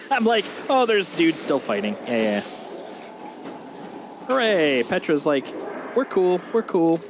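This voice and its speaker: A man's voice: a little high, sing-song, slow pace, long pauses. A man doing casual live gameplay commentary as a streamer, jokey and given to playful exclamations. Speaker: game streamer. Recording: very noisy, game audio behind.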